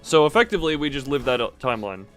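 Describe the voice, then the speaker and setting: A male game streamer with a middling pitch reads story text aloud over game music. He corrects himself as he goes and drops in casual commentary. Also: sing-song, very fast, fairly bright tone. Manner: stumbles and self-corrects while reading aloud.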